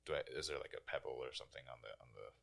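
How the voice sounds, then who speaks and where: A man's voice: very deep voice, rapid-fire, creaky, a bit bright. A man playing a tabletop game, chatting casually about dice rolls and his character's actions.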